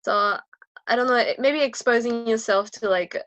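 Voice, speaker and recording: A woman's voice: a little high, moderate pace, a bit bright, highs cut off. A young woman from Australia, a powerlifter guest, who talks in a hesitant way with many fillers and laughs easily. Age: young adult. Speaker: guest, powerlifter and university student. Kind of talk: casual interview chat.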